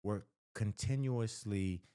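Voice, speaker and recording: A man's voice: on the low side, slow, warm tone, clear, resonant tone, no echo, clean studio sound. A man from the American South with an American accent talks as a counselor giving advice, conversational and emphatic, repeating key phrases and often checking in with the listener. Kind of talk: direct-to-camera advice talk.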